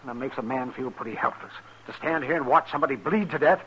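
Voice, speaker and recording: An American actor playing a blunt, weary frontier town doctor in a radio western, muttering in a folksy, drawn-out way. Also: male voice, medium pitch, bright, forceful tone, dull audio, natural voice. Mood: resigned. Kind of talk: 1950s radio acting. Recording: hissy 1950s radio recording.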